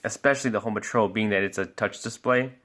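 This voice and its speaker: A man's voice: fairly low pitch, fast talker, a bit bright. A man giving a casual product review and walkthrough as a sales expert, explaining things informally step by step.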